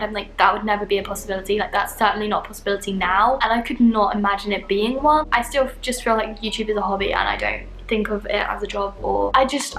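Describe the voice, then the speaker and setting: A woman's voice: mid-range voice, fairly bright tone, reverberant, low-quality recording. A 20-year-old woman from London with a southern British English accent, chatting casually and candidly to camera as a vlogger. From London, England.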